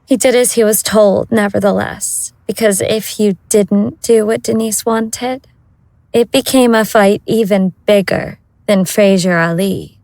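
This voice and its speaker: A woman's voice: mid-range voice, steady tone, measured pace, darkish tone, no echo, close to an everyday voice, low-quality recording. A woman narrating a scripted audio drama as its storyteller.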